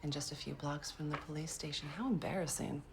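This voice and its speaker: An actress playing a cool, cheeky private detective, dry and teasing in a 1920s period TV drama. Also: female voice, deep voice, quick pace, noisy background. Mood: dry, amused.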